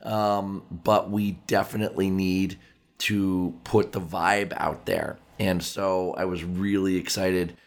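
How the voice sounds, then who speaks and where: A male podcast host speaks in a low, gravelly register, his voice raspy and hoarse from overuse. He chats casually, often doubling back on words and filling pauses with ums. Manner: chatty, repeats words, many fillers.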